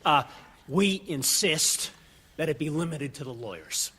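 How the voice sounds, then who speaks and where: An actor playing a grave government attorney who insists on secrecy and stresses every word. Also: male voice, on the high side, lively intonation, unhurried, pushed and bright, slightly rough, full character voice. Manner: grave, emphatic about secrecy. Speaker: government lawyer.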